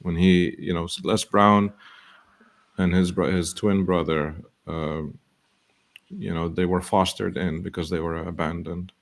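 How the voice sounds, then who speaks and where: A man hosting a motivational talk show, speaking earnestly and slowing down to stress quotations. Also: sits low, fairly even intonation. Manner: earnest, quotes sayings, slow emphasis.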